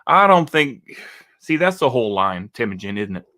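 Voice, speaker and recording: A man's voice: medium pitch, sing-song, normal speed, pushed and bright. A man hosting a reaction video, talking in a rambling, mocking way with many restarts and a Texan accent. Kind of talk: casual commentary reacting to a video.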